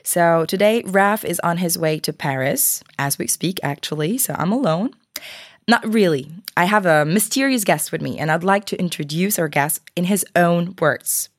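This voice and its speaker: A woman's voice: fairly low pitch, normal speed, no room sound, studio quality. A woman hosting a podcast interview in a chatty, partly scripted style, a bit sick. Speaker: podcast host.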